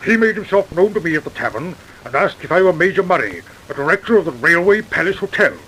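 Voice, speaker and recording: An actor playing a pompous, indignant British gentleman major who blusters and stammers, in an old-time radio drama. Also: sits high, big pitch swings, fast talker, exaggerated voice. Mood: blustering, defensive. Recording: noisy vintage radio recording.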